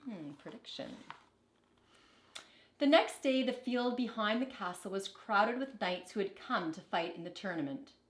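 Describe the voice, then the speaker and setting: A woman reads a picture book aloud for children. Her delivery is unhurried and deliberate, with lively, wide-swinging intonation. The recording sounds echoey and roomy. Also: mid-range voice. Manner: expressive, deliberate phrasing for children.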